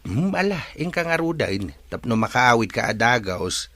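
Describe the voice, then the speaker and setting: A man with an Ilocano accent acting a caring, worried father who gently coaxes his grown daughter in an audio drama. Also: mid-range voice, sing-song, moderate pace, no room sound. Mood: worried, fond.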